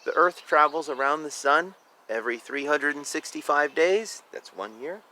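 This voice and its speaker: Male storybook reader, slightly high and bright, animated delivery for young listeners, informal asides between lines. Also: moderate pace. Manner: expressive, informal, drawing out words, commenting on the pictures. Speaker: children's book reader. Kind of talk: animated storybook read-aloud with casual asides.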